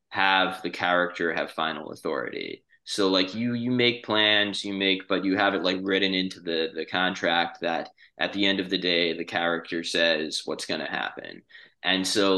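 A man hosting a casual podcast discussion, earnest and halting as he works through his points. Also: deep voice, pushed and bright. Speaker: podcast host.

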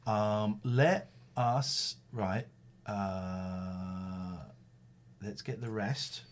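A man's voice: on the low side, extremely slow. A man hosting a casual online chat, talking in an effusive, chatty way with playful asides. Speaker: host.

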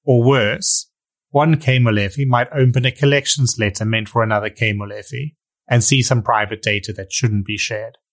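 A man with a South African accent hosting a podcast, reading a measured, explanatory intro and then asking interview questions. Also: mid-range voice, fairly even intonation. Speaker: podcast host.

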